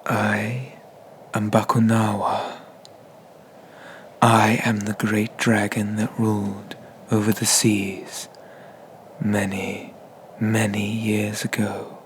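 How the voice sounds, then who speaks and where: Man playing a slow, whispering, haughty dragon god. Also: lowish voice, completely flat delivery, slight background noise. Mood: lofty, proud. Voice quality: breathy whisper. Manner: slow, pompous, condescending, with drawn-out pauses. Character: ancient male sea dragon god, haughty and menacing. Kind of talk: ASMR roleplay, whispered.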